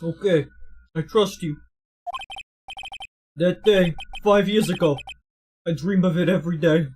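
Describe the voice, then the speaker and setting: A streamer voicing a simple, earnest male death row convict in halting, broken English for a video game read-aloud. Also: medium pitch, darkish tone, slight background noise, close to an everyday voice. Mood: wistful.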